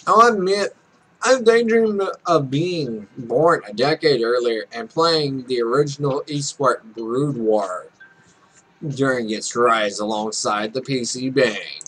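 A man's voice: medium pitch, lively intonation, takes it slowly, fairly bright tone, slightly rough, rough recording. A man in his twenties reading game text aloud with casual, joking, self-mocking commentary. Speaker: Let's Play streamer. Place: small room at home.

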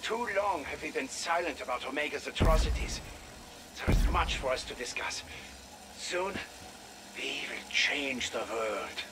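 An actor playing an earnest, grave scientist who gives a measured, formal radio message in a video game. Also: male voice, a little high, expressive, heavy background noise. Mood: grave, resolute. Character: earnest defector scientist man, grave and idealistic.

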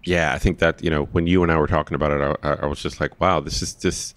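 Very deep-voiced co-host, fast and playful, dry close sound. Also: male voice, steady tone, no room sound. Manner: quick, chatty, playful. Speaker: podcast co-host. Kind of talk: casual podcast chat.

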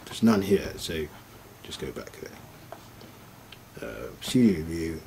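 Man, lowish pitch, informal tutorial, halting think-aloud delivery, faint background noise. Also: very animated, creaky, darkish tone. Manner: halting, thinking aloud while operating the device. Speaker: product demonstrator. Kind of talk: informal screen tutorial, unscripted step-by-step demonstration.

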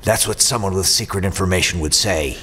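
An actor playing a shrewd, cocky mercenary in an audio drama, sardonic and confident. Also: male voice, low-pitched, a little fast, pushed and bright, breathy edge, exaggerated voice.

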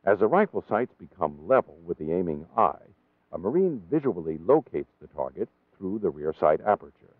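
A man with an American accent reading scripted training-film narration in a measured, formal, precisely enunciated way. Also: low voice, very animated, no echo, phone-quality sound.

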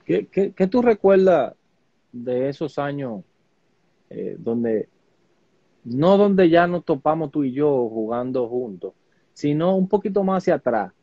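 A man's voice: fairly high pitch, lively intonation, a little slow, dark tone, dry recording. A young man interviewing a guest over a video call, friendly and often repeating words as he starts questions. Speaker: interviewer, host. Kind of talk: casual interview. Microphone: webcam, video call. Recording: dull lo-fi video call audio.

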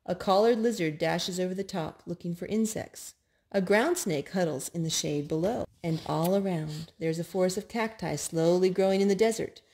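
A woman reading children's picture books aloud in an expressive, measured storytime style. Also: lowish voice. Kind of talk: read-aloud storytime narration. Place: indoors at home.

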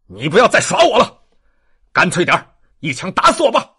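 A man's voice: sits high, huge pitch swings, pushed and bright, slightly rough, heavily acted. A man voicing grave, proud men from a historical novel in a weighty, dramatic manner. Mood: agitated, defiant. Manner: slow, dramatic, weighty delivery.

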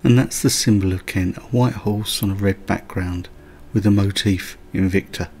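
A man's voice: low voice, fairly even intonation, normal speed, darkish tone, soft background music. A man narrating a countryside road trip as a voice-over, with light chatty asides. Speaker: travel vlogger. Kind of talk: scripted travel narration.

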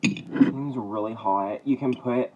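A man's voice: on the low side, unhurried, muffled recording. A young man chatting casually and playfully as he vlogs his cooking in a home kitchen, recorded on a phone at arm's length. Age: young adult. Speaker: vlogger. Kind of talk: casual cooking vlog chat. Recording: clattering dishes and clicks, noisy kitchen.

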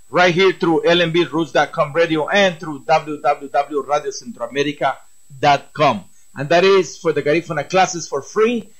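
A man's voice: on the high side, a bit bright, rough recording. A man hosting a community radio talk show, making enthusiastic announcements and introducing his guest.

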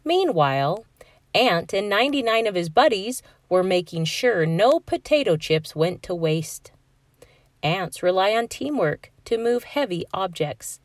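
A woman reads a children's storybook aloud as narrator. Her pace is slow and measured, and the recording is dry with little room sound. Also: medium pitch, very animated, dry recording. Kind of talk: scripted picture-book narration.

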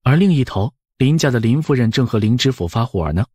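A man's voice: medium pitch, lively intonation, a little fast, darkish tone, slightly rough, no room sound, studio quality. A man narrating an audiobook in a scripted storytelling style.

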